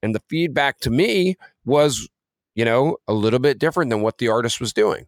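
A man's voice: mid-range voice, animated, normal speed, no room sound, clean studio sound. A man co-hosting a podcast in a casual, conversational way, often dropping in "you know" as he talks. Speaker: podcast co-host, music career coach.

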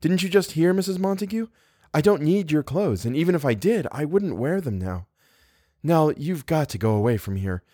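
A man's voice: a little high, animated, normal speed, dark tone, no echo, clean studio sound, pitched above the performer's own voice. A man voicing a proud, cool young woman in an audiobook, speaking with firm patience. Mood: impatient, cool. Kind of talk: audiobook character voice.

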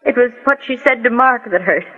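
A woman's voice: a little high, a little fast, pushed and bright. An old, narrow-band 1940s radio recording carries a genteel woman. She speaks earnestly and a little hesitantly, with solicitous, wounded theatrical feeling. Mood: uneasy, hurt.

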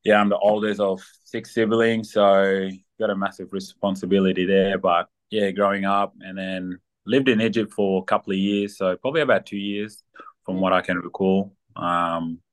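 Young male guest from South Sudan, very low voice, casual podcast interview. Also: normal speed. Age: young adult. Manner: relaxed, many ums and fillers. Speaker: guest athlete.

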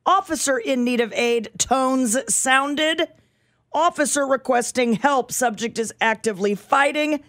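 A woman's voice: sits high, a little slow, a bit bright, no room sound. A woman co-hosting a radio show who reads police scanner reports in a dry, matter-of-fact dispatcher style between bits of banter. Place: radio studio. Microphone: studio microphone.

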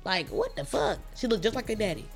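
A young adult woman with a raspy voice, talking bluntly and profanely in a casual podcast chat, animated and laughing often. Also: mid-range voice, a little fast, soft background music. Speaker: podcast guest.

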